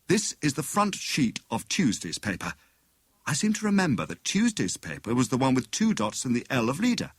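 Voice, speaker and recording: A man voicing a clever, excitable married woman who plays amateur detective, with a brisk, knowing manner. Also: medium pitch, breathy edge, no echo, pitched above the performer's own voice. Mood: excited, intent.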